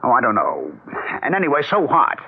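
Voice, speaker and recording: An actor playing a skeptical, irritable husband in a 1970s radio drama, with a dismissive, blunt manner that can turn smoothly polite. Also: male voice, medium pitch, huge pitch swings, brisk, full character voice. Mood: irritated, skeptical. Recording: muffled, narrow-band 1970s radio recording.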